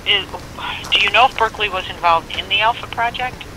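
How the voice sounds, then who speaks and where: An American government records officer explaining things informally and haltingly over a phone speaker, in a helpful way. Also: medium pitch. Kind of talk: casual explanatory phone conversation. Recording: noisy speakerphone call picked up outdoors.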